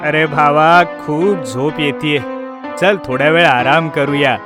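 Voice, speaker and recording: An actor voicing a menacing, bullying wolf in an animated story, with a taunting, drawn-out delivery. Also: medium pitch, music in the background, natural voice. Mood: drowsy. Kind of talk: animated story character voice acting.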